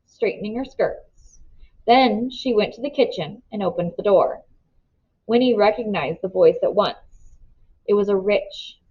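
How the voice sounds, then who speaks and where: Female read-aloud narrator for a children's novel, expressive storytelling, mid-pitched, slightly dull lo-fi recording.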